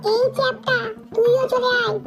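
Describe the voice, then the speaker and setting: A woman's voice: extremely high pitch, level tone, moderate pace, music in the background. A woman voicing an anxious, complaining young village woman with a whiny, fretful manner in a comedy cartoon. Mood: caring.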